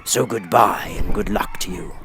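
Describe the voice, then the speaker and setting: A man's voice: lowish voice, normal speed, a bit bright, a little hiss or hum, natural voice. A man voicing a dutiful winged monkey king in a formal, courteous way. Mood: courteous farewell.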